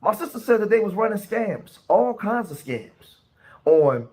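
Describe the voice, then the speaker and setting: A male host with a fairly high voice and a bouncing, animated delivery. He speaks in a loose commentary style and keeps turning to his listeners for agreement. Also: unhurried. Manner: animated, asks the audience "right?" often. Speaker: podcast host. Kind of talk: casual commentary on online clips.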